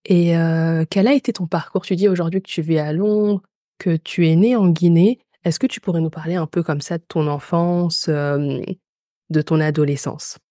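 A woman's voice: low voice, steady tone, moderate pace, mellow, dry recording, clean studio sound. A woman hosting a conversational interview podcast and putting questions to her guest.

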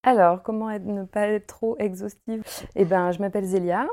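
Chatty thirtysomething woman with a mellow voice. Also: mid-range voice, fairly even intonation, moderate pace. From Paris, France. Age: 30s. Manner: chatty, many fillers, laughs easily. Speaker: guest, costume maker and embroiderer. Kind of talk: casual interview chat. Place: her home.

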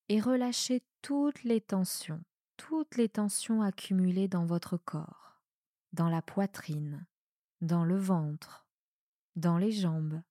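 Slow, low-pitched woman guiding meditation. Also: no room sound, studio quality. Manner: calm, soothing, measured phrasing with pauses. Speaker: yoga nidra guide. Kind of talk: guided meditation, relaxation instruction.

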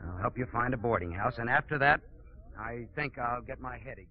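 A man acting in 1940s radio style as a brisk, exasperated but kindly theatre director, wry and commanding. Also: medium pitch, lively intonation, close to an everyday voice. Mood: gruffly kind. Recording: muffled 1940s radio broadcast.

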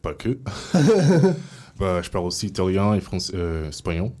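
Low-voiced hesitant man, non-native speaker. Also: steady tone, darkish tone. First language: Portuguese and English. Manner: hesitant, halting, many fillers. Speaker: radio guest. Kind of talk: casual interview answers.

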